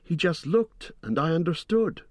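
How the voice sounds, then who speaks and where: A man voicing a frightened young male hobbit who stammers and pleads in a high, shrill voice. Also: lively intonation, a little slow, a little mellow, dry recording, studio quality. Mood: shaken.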